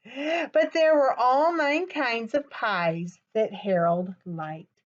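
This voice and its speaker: A woman reading a picture book aloud to young children as a storytime presenter, expressive and gasping for suspense, often putting questions straight to her listeners. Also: a little high, slow, highs cut off. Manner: expressive, gasps for suspense, addresses children directly.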